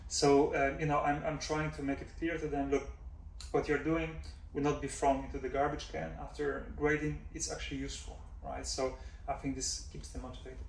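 Danish-raised professor in an echoey office. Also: male voice, a little high, level tone, brisk, creaky. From Denmark. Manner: thoughtful, many fillers and "right?" checks. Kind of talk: relaxed interview answers. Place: office room, indoors. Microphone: far camera mic. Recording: roomy echo from far off, faint hum.

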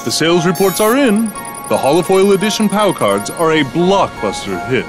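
Cartoon tycoon: proud and grandiose, fairly high voice, lively swooping salesman delivery. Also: moderate pace. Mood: self-satisfied. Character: proud, self-satisfied animal business executive. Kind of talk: cartoon voice acting. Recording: loud music under the voice.

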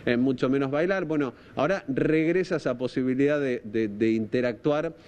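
A man's voice: medium pitch, normal speed, a little mellow, lo-fi sound. Hesitant Argentine man reporting live. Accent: Rosario Argentine. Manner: informal, hesitant, repeats words while thinking. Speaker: TV field reporter. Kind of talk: live unscripted news reporting. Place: outdoors at night by a park. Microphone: handheld microphone, through a face mask.

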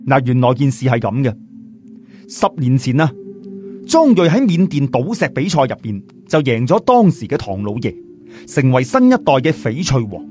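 A man narrating a story in a lively, colloquial Cantonese storytelling style, chatting in asides to listeners. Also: medium pitch, normal speed, soft background music. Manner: chatty, uses sentence particles and asides to listeners. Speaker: audiobook storyteller.

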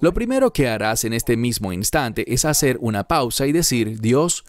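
A man dubbing an earnest Bible teacher in his fifties, delivering a verse-by-verse sermon with emphatic, deliberate phrasing. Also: mid-range voice, sing-song, lightly acted.

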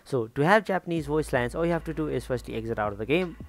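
A man's voice: on the high side, expressive, fast talker, gravelly. A man hosting a tutorial, talking casually through instructions step by step with frequent little check-ins. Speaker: tutorial host. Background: background music.

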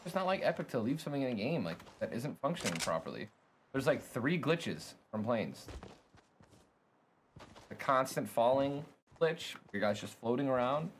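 Young man, game streamer, slightly congested, casual chat, middle pitch, faint background hiss. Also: very animated, moderate pace. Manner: chatty, thanks viewers, bursts of frustration. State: a little congested. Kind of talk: casual live-stream chat while playing. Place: home streaming room, indoors.

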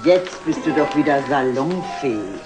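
A man's voice: medium pitch, lively intonation, moderate pace, natural voice. An actor playing a man who teases his old friend in a familiar way, in a 1960s German TV drama. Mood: teasing. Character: familiar, teasing male friend of the director. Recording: old TV soundtrack, loud music behind.

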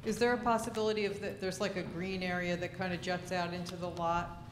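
A woman's voice: on the low side, flat delivery, brisk. A woman speaking for the library answers questions briefly and plainly. The room around her is echoey, with a faint hum. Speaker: library director. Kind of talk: public meeting answers.

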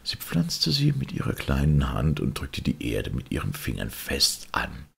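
Very deep gravelly male storyteller. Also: huge pitch swings, measured pace. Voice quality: gravelly, husky. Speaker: audiobook narrator. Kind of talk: expressive scripted storytelling.

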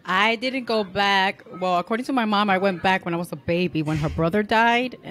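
A woman in her forties from New York, a podcast guest talking in a relaxed, unhurried way from home into a stand mic on a video call. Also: medium pitch. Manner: relaxed, unhurried, laughs easily. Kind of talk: casual interview conversation. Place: home room. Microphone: studio mic on a stand, headphones, video call.

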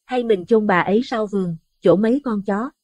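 A man's voice: high voice, normal speed, darkish tone, dry recording, pitched above the performer's own voice. A man putting on a frightened village wife. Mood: fearful. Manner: trembling, pleading, panicky.

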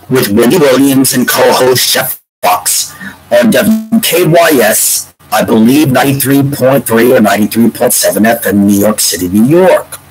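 A man in his forties with a congested, stuffy nose, rambling to a webcam from a room at home in a meandering, drawn-out way. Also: medium pitch, poor audio. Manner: meandering, drawn-out, breaks into humming a tune. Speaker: vlogger. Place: home room with bookshelves, indoors. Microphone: webcam at desk.

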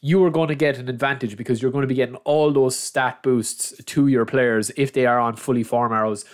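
A man's voice: mid-range voice, steady tone, brisk. Guy with a cold, bit nasally, chatty gaming walkthrough voice, calls everyone lads. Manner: chatty, addresses viewers as lads. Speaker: gaming channel host. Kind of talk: screen-recorded game guide. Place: at a computer, voice-over of screen recording.

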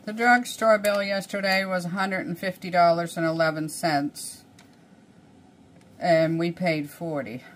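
Slow, low-voiced older woman vlogging. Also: steady tone, a little hiss or hum. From Canada. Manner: rambling, thinking aloud, chatty. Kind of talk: casual vlog chat. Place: small cluttered home kitchen and bedroom, indoors.

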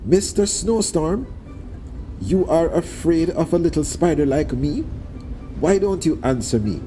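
A man shouting as a boastful little trickster spider. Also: expressive, measured pace, soft background music. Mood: indignant. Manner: shouting high and loud, stretched words.

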